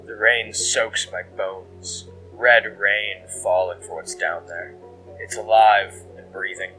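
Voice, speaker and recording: A man playing an obsessed, reverent dreamer logging eldritch nightmares in a brooding, hushed horror monologue that slips into whispers. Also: on the low side, fairly even intonation, takes it slowly, low-quality recording. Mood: ominous. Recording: music behind the voice.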